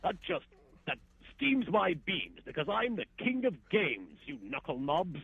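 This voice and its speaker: A man's voice: fairly high pitch, wildly swooping pitch, a little slow, vocal fry, slightly rough, no room sound, narrow, tinny audio, heavily acted. A man voicing a haughty, indignant young gaming champion from a cartoon parody.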